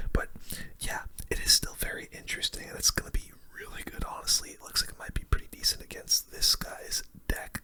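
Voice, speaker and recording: Breathy, bright whispering gaming ASMR host. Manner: relaxed, casual, slangy. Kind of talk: whispered ASMR game commentary.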